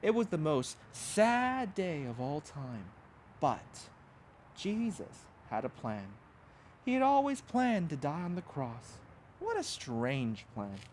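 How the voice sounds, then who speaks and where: Man, slightly high voice, very lively intonation, dramatic storybook read-aloud for small children, noticeable background rumble. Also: takes it slowly. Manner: dramatic, simple emphatic phrasing for small children. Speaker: pastor reading a children's storybook. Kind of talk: expressive storybook read-aloud with asides to young listeners.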